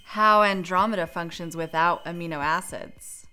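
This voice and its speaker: A woman's voice: on the low side, moderate pace, pushed and bright, clear, resonant tone, faint music behind. A woman playing an assertive, sardonic research scientist in a radio drama. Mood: assured.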